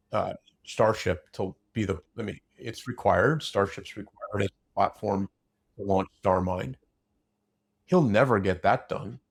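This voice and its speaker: A man's voice: fairly low pitch, gravelly. A man in a casual unscripted discussion, opinionated and blunt, rambling through broken-off sentences.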